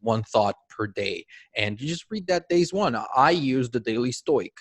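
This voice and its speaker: This is a man from Mexico, a native Spanish speaker, hosting a podcast in a chatty, conversational way. His pitch is middling for a man. The recording is dry and close. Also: animated, measured pace, no room sound. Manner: conversational, restarts phrases. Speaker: podcast host. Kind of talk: casual interview conversation.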